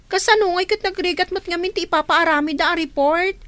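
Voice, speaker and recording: Radio comedy: reluctant student girl woman performer, very high voice, Ilocano accent, dry sound. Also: a little fast, fairly bright tone, no echo. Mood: sheepish excuses. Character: reluctant young female student making excuses to mother.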